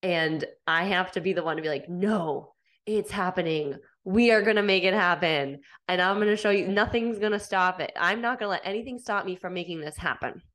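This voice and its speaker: A woman's voice: lowish voice, brisk, fairly bright tone. A woman in her thirties hosting a casual solo podcast, stuffed up from a cold, chatty and upbeat with lots of fillers. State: congested from a cold. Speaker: podcast host.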